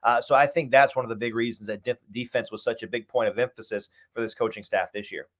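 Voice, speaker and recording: Male radio sports announcer as interview guest. Rapid, conversational delivery, talkative, stresses points by repeating and listing. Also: mid-range voice, steady tone.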